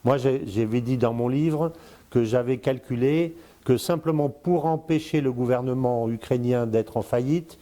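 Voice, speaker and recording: A man's voice: mid-range voice, dark tone. An older man in his sixties giving political commentary in casual speech, hesitant, with many fillers and repeated words, addressing the listener directly. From born in Congo, lived in Africa, Spain, Brazil. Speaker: strategic affairs commentator, guest.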